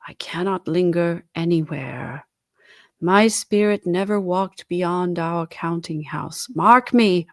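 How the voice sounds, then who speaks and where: A woman voicing the mournful, tormented ghost of a dead businessman in a dramatised storybook reading, with slow, wailing words that sink into breathy whispers. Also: on the low side, dry recording, clean studio sound. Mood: bleak, weary.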